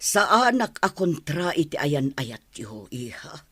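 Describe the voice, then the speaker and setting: Worried middle-aged mom, husky, disapproving, dry sound, radio drama. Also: female voice, low voice, big pitch swings, a little slow, fairly bright tone, dry recording, full character voice. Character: concerned, disapproving middle-aged mother advising her daughter. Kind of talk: Ilocano radio drama acting.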